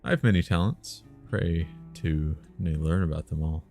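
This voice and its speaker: A deep-voiced man as a sly spellcaster woman. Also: moderate pace, darkish tone, soft background music. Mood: playful, sly. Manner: old-fashioned rustic phrasing. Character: playful, sly woman spellcaster living in the wilds.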